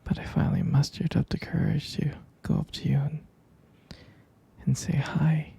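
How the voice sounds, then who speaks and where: A man playing a gentle, sleepy half-vampire boyfriend in an ASMR roleplay, murmuring soothingly and haltingly in a breathy, husky whisper. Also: fairly high pitch, level tone, full character voice. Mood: soft, affectionate.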